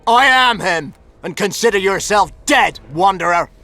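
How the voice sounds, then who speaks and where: Haughty high-pitched killer duelist. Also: male voice, expressive, unhurried, bright, forceful tone, exaggerated voice. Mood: haughty, threatening. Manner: haughty, threatening. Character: arrogant menacing duelist, notorious killer called the Butcher. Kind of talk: video game voice acting. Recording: music behind the voice.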